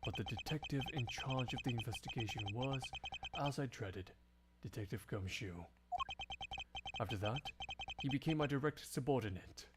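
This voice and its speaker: A man voicing a stern, exasperated young prosecutor in a fandub, with dry, measured, pompous diction. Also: mid-range voice, heavy background noise, pitched below the performer's own voice, natural voice. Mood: grave, resigned. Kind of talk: game fandub acting with narration.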